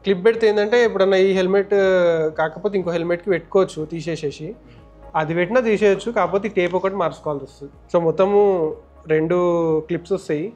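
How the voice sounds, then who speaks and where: A man's voice: sits high, very fast, a little mellow, soft background music. A man with a Telangana Telugu accent explains step by step in a casual, conversational hands-on tutorial as a vlog host. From India. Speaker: motovlogger and host.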